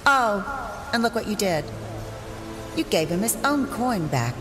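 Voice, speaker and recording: A woman's voice: medium pitch, big pitch swings, measured pace. An actress playing a cold, sardonic, seductive female antihero in an audio drama. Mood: bitter, sardonic. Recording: long reverb, prominent music underneath.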